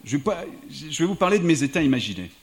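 A man's voice: a little high, sing-song, fast talker. A middle-aged man from the United States, a diplomat and writer, speaking thoughtfully to a live audience in an evening talk. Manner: reflective, thinking aloud.

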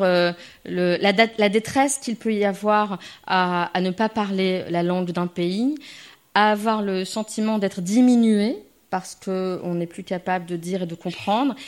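A woman's voice: medium pitch, a little mellow. Hesitant, thoughtful French-born woman. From France. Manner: hesitant, thoughtful, frequent fillers and restarts. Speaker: speaker at a gathering. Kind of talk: reflective personal testimony.

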